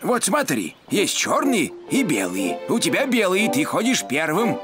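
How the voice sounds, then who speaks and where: Cartoon crayfish, slow, polite, hesitant, lonely, expressive intonation, music underneath. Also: male voice, high voice, full character voice. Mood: eager, kindly. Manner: courteous, hesitant. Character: lonely, polite male crayfish, eager checkers player. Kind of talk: cartoon voice acting.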